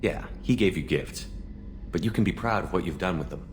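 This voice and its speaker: Man acting a brisk, low-voiced military commander. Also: noisy background, natural voice. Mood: earnest. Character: earnest, steady male military starship commander. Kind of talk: video game character acting.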